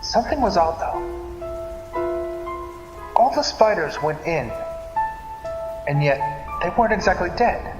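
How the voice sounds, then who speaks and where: A man's voice: sits high, animated, dull audio. Theatrical male storyteller in a reverberant hall. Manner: theatrical, deliberate. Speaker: narrator of a dream story series. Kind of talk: dramatic scripted storytelling in the manner of old-time radio serials. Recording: echoey reverberant hall, loud music behind. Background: music.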